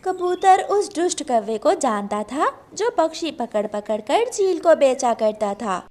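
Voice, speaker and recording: A woman's voice: high-pitched, big pitch swings, measured pace, darkish tone. A woman narrating a scripted children's cartoon story.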